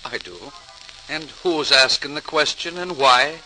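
An actor playing a bitter, sardonic Irish tenement dweller in an old-time radio drama, speaking in lilting idiom and rhetorical questions. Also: male voice, a little high, unhurried, sharp tone, a little hiss or hum, poor audio. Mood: wary, bitter. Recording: old radio recording, music behind.

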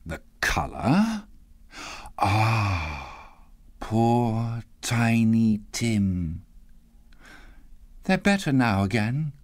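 A man voicing a gentle, weary mother who speaks tenderly and bravely through grief in a storybook reading. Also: mid-range voice, very animated, normal speed, gravelly, dry recording, pitched above the performer's own voice.

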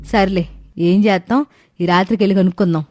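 A woman's voice: medium pitch, steady tone, fairly quick, a little mellow, no room sound, natural voice. A woman voicing a sarcastic, wary older village mother-in-law in a cartoon story, scolding in rustic colloquial speech. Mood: wry. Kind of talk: animated Telugu moral story dialogue.

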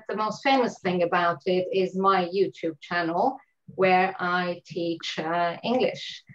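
A woman from Iran introduces herself over a video call. She speaks calmly and clearly. The audio is a bit dull and lo-fi. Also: medium pitch. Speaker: panel guest, English teacher.

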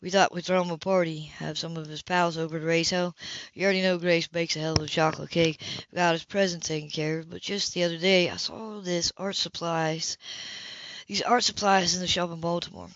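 A woman's voice: lowish voice, fairly even intonation, brisk, fairly bright tone, dry recording, muffled recording. A woman reading the lines of a confident, easygoing, teasing man in an amateur audiobook. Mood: easygoing, conversational.

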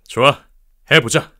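An easygoing young computer builder. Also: male voice. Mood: friendly. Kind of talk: children's audio storybook acting.

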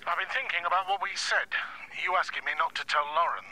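A man's voice: sits high, quick pace, over a noisy crowd. An actor in a radio drama playing a stern, moralising older father-in-law heard over the telephone. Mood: stern, reproachful. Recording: phone call, faint noise.

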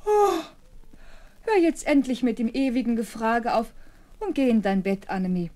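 Nanny for children's radio play female actor: fussy, caring, animated pitch, mellow tone. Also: moderate pace, lightly acted. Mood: scolding. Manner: scolding, coaxing. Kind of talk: children's audio play acting.

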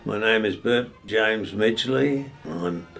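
A man's voice: on the low side, takes it slowly, creaky, fairly bright tone, faint music behind. An Australian man in his nineties recalling old times in an oral history interview. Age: 90s. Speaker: local resident interviewee.

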